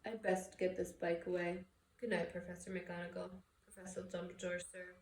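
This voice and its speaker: A woman's voice: fairly low pitch, level tone, normal speed, recorded from a distance, lightly acted. A woman voicing a giant, humble and tender-hearted wild man with a folksy way of talking in a storybook reading. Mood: subdued. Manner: folksy, stammering when upset. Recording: echoey room with background noise.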